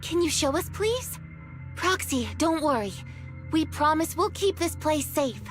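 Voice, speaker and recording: A woman voicing an earnest, excitable young girl fighter in an anime-style video game. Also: high voice, unhurried. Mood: earnest, reassuring. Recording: loud game music behind. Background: loud game music.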